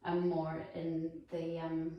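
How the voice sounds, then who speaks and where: A woman's voice: low-pitched, monotone, slow pace, lo-fi sound, slight background noise. A woman in her forties with a New Zealand accent, playing a friendly, chatty real estate agent with many ums. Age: 40s. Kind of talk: acted sales meeting. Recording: echoey room, mic far off.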